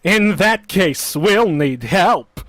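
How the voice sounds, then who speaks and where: An actor playing a soft-spoken, gloomy undertaker who delivers his puns in a slow, mournful deadpan, in the style of vintage radio comedy. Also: sits high, no room sound, full character voice.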